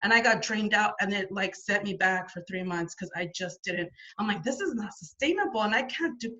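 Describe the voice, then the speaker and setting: A woman's voice: mid-range voice, lively intonation, brisk, bright, forceful tone, lo-fi sound. A woman guest telling her story in a chatty, rambling way, full of restarts and fillers, heard over a video call. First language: not French. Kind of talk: casual interview storytelling.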